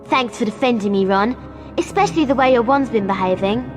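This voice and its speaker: A woman's voice: on the high side, animated, fairly quick, close to an everyday voice. A woman voicing an earnest, curious schoolgirl witch in a video game. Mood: grateful, concerned. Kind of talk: video game character acting. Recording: music behind the voice.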